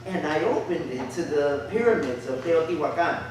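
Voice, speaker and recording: A man reading the part of a reflective Chicano looking back on his school days in a measured theatrical monologue. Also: a little high, expressive, background noise, natural voice. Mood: amused, reminiscing. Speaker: stage reader in a documentary play. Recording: very echoey room, far from mic.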